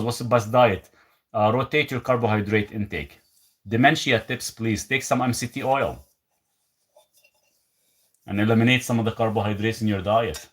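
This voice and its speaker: A man's voice: medium pitch, slightly rough. A man from Lebanon hosting a live stream from his rural home, casually reading viewer questions aloud and answering them. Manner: relaxed, rambling, reads comments aloud then answers. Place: rural property, country home.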